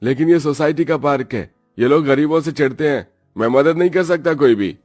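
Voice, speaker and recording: Guy putting on a gruff cartoon guard, loud scolding, voice pushed way up, faint music behind. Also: fairly high pitch, fairly quick, voice pushed up, close to an everyday voice. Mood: dismissive. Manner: roaring, dismissive. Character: gruff moustached park guard, scolding and unhelpful. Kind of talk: Hindi cartoon story acting.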